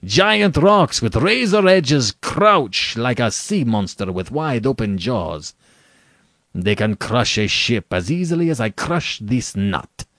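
A man's voice: medium pitch, big pitch swings, takes it slowly, a bit bright, no room sound, studio quality, voice pushed up, heavily acted. A man voicing an urbane, arrogant aristocratic general in a story, with bland, precisely worded condescension. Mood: menacing relish. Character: urbane, arrogant male aristocrat general who hunts men.

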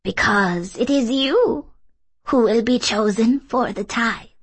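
A woman voicing a solemn old fairy witch from a storybook, speaking slowly in formal, cryptic, archaic phrases. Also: fairly high pitch, breathy edge, no room sound, pitched above the performer's own voice. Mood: grave, serene.

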